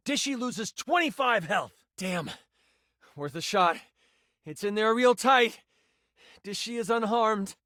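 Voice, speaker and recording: An actor playing an excitable, theatrical nerdy game master in a video game, moving between grand narration and casual asides. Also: male voice, sits high, slow, long pauses, no echo, studio quality, full character voice. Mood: narrating. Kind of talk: video game character voice lines, dramatic tabletop narration.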